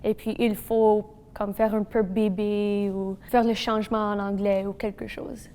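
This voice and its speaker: A woman's voice: mid-range voice, unhurried, long reverb tail. A woman student with a French immersion accent, speaking hesitantly and filling with "comme". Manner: hesitant, flat, uses many "comme" fillers. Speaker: university student. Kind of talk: testimonial talk.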